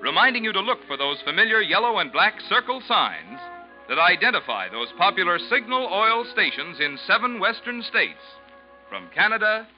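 A man announcing for 1940s radio in polished, emphatic sales style. His delivery is lively and fairly high, on a muffled vintage recording. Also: moderate pace. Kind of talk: 1940s radio announcing, scripted sponsor read. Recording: dull old radio recording. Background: music.

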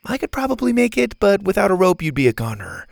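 Storybook heroine, acted by a man: bold young girl, higher pitch, assertive, no-nonsense. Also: sing-song, fast talker, gravelly, no echo, studio quality, exaggerated voice. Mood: blunt, practical. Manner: blunt, assertive. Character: bold, practical, feisty girl adventurer.

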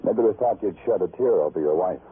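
An actor playing a world-weary police detective in an old-time radio crime drama, dramatic and hardboiled. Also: male voice, deep voice, lively intonation, quick pace, husky, full character voice. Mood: terse, suspicious. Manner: dramatic, poetic, clipped when questioning. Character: world-weary, brooding male police detective narrating his beat. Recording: tinny vintage radio broadcast, music underneath.

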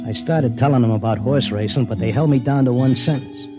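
A streetwise, cynical racetrack hustler, played by a male actor in an old radio drama. His mid-pitched voice is blunt and wry, full of slangy swagger, with music playing underneath. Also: fairly quick, close to an everyday voice. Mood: wry, narrating. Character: streetwise, cynical racetrack wise guy devoted to his wife. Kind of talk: classic radio drama acting and narration.

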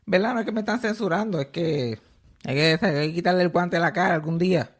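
Man, podcast host from Texas, slightly high voice, casual gossip, imitates the people he talks about. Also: fast. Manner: animated storyteller, acts out quoted voices.

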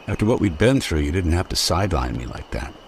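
An actor playing an earnest, dry, slightly self-pitying companion in a radio detective drama. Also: male voice, low-pitched, sing-song, fast, creaky, a little hiss or hum, full character voice. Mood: wounded. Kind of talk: old-time radio drama acting.

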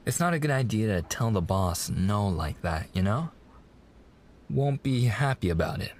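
A man plays a young mafia man courting his fiancée in an intimate ASMR roleplay. His voice sits on the low side. He talks in wiseguy slang, earnest and a little hesitant, reassuring and protective toward the woman he adores. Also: expressive, moderate pace, a little hiss or hum. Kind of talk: boyfriend ASMR roleplay acting.

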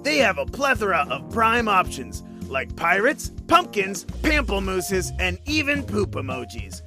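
A zany radio DJ voiced by a man pitches a party store in a high, bouncing voice. Music plays clearly behind him. Every line is played for goofy fun. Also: big pitch swings, a little slow, heavily acted. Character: zany male morning radio DJ in an ad.

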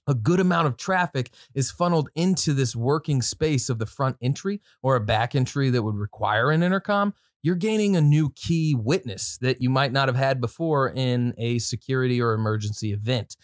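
A man's voice: mid-range voice, dry recording, studio quality. A man hosting a podcast, giving casual product commentary with informal, slangy asides and some reading from notes. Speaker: podcast host.

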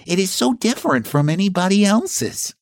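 A man's voice: high-pitched, very animated, normal speed, no echo, studio quality, voice pushed up. A man voicing a sweet, anxious young female moth in a children's storybook, speaking in an earnest, pleading way. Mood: tender.

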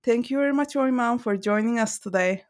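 A woman hosting a book interview podcast, introducing the guest and asking questions in a measured, orderly way. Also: a little high, a little mellow. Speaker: podcast host.

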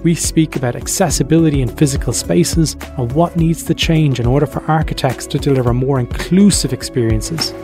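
A man hosting a design podcast, introducing the episode and interviewing his guest in a relaxed conversational way. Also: on the high side, fairly quick, hardly any pauses, over music. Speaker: podcast host.

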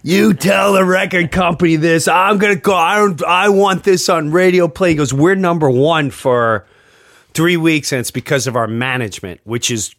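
A man hosting a podcast in casual chat, telling stories in an animated way and acting out what others said. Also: on the high side, moderate pace, no echo, clean studio sound. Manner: animated storyteller, acts out quoted remarks. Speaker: podcast host and comedian.

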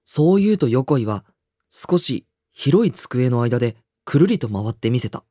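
A woman playing a reserved, blunt high school boy in a radio drama, speaking both curt dialogue and introspective narration. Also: big pitch swings, unhurried, warm tone, exaggerated voice. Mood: wistful, introspective. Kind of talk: radio drama acting and first-person narration.

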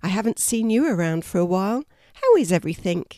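A woman voicing a gentle, kind female kangaroo in a storybook, speaking softly. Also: medium pitch, big pitch swings, quick pace, dry recording, clean studio sound, lightly acted. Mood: wistful. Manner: soft, gentle.

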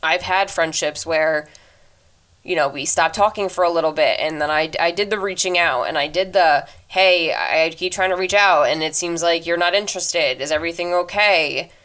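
Deep-voiced young woman, earnest podcast talk. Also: a bit bright. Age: 20s. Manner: earnest, reflective, talks fast. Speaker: podcast host. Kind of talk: casual solo advice talk. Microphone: podcast microphone.

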